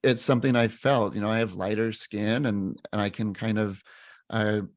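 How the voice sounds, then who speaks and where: A man in his thirties with a fairly low voice recalls a story in an earnest, halting way, often restarting his sentences. The sound is dry and close to the mic, with no room around it. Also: steady tone, dry recording. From United States. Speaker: teacher and podcast co-host. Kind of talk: reflective storytelling in casual chat.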